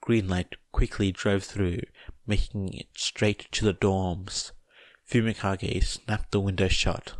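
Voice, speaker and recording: A man reading a story aloud as scripted narration, in a measured, deliberate way. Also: low-pitched, level tone, no echo. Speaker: audiobook narrator of fan fiction.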